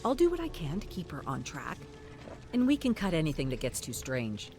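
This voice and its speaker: A weary, driven newswoman, as the reader speaks her lines in a game story recording. She sounds firm and lively over a clear music bed. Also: mid-range voice, a little fast. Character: tired, ambitious female TV journalist.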